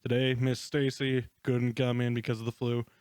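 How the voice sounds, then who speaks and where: A man's voice: mid-range voice, little pitch movement, normal speed, a little mellow, clean tone, no echo, studio quality, close to an everyday voice. A man voicing a courteous, reassuring male substitute teacher in a story reading.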